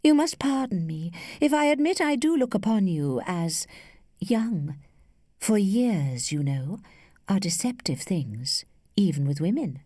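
A woman voicing a worldly, flirtatious lady who teases with cool indulgence in a measured, studied way, as in a storybook. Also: medium pitch, sing-song, mellow, no echo, clean studio sound. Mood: earnest, rueful. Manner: studied, measured, archly playful.

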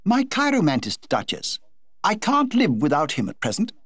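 A man voicing a vivacious forty-year-old society hostess in a high, gushing, theatrical tone. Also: wildly swooping pitch, moderate pace, a bit bright, breathy edge, no room sound, clean studio sound, exaggerated voice.

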